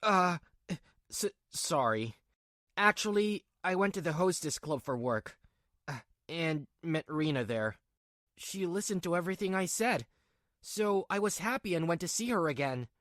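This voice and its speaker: A woman's voice: lowish voice, animated, a little slow, fairly bright tone, dry recording, studio quality. A woman voicing a weak-willed, two-faced young husband in a manga drama, with a pleading, hesitant delivery. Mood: apologetic.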